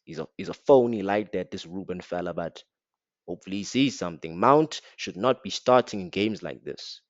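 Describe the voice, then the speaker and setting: A man's voice: fairly low pitch, vocal fry, a little mellow, dry recording, dull audio. A man with an African accent giving a blunt, slangy, mocking rant as a football fan reviewing a match. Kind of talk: casual rant to camera.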